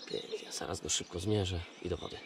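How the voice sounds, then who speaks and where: Man muttering excitedly on a riverbank. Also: sits low, a little fast. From Poland. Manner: murmurs delighted praise half to himself, coaxing the fish. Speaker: angler vlogger. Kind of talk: excited running commentary while fishing. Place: outdoors on a riverbank. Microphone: action camera mic. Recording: outdoor camera audio with river and wind noise.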